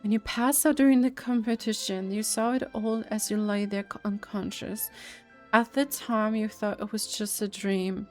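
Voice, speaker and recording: A woman's voice: medium pitch, steady tone, moderate pace, faint music behind. A woman casually commenting on a game playthrough, musing and repeating the dialogue aloud. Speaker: gameplay commentator.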